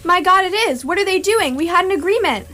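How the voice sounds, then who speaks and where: A bewildered, easily indignant ordinary man played by a woman in a radio comedy. The voice is very high, with an exasperated, sarcastic edge. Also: lively intonation, brisk, pushed and bright, full character voice. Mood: alarmed, indignant. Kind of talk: amateur radio theatre acting.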